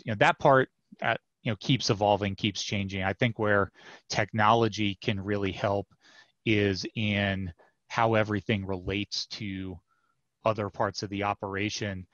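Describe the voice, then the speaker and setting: Low-voiced man on a headset call. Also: fairly bright tone, no room sound. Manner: thoughtful, many fillers and restarts. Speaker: software product manager, guest. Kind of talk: casual interview answers. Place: home office with bookshelves. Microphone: headset mic on video call. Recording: dull dry headset audio.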